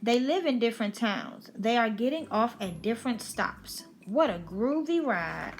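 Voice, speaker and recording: A woman's voice: on the high side, expressive, unhurried, a little hiss or hum. A woman reading a children's picture book aloud as a storyteller on her channel.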